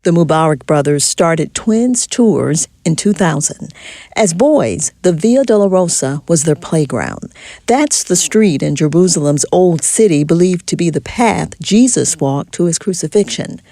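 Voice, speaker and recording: A woman radio reporter narrating a scripted news feature in a measured, clear way. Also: fairly low pitch, animated, warm tone, no echo.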